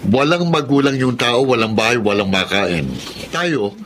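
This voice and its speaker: An actor playing a kind-hearted middle-aged father who talks in a calm, steady, firm way. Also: medium pitch, moderate pace, slight background noise, natural voice. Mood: exasperated. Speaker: radio drama actor. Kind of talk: radio drama acting.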